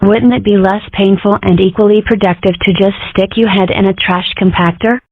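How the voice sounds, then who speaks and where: A woman playing a young fox girl, cheeky, sarcastic, mid-pitched, lo-fi. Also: fairly even intonation, brisk, no echo, close to an everyday voice. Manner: sarcastic, mischievous. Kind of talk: fan comic dub. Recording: dry, phone-like lo-fi sound.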